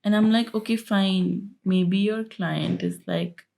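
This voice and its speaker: Soft-spoken sarcastic woman with vocal fry. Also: medium pitch, fairly even intonation, slow. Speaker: podcast co-host. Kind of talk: casual chat.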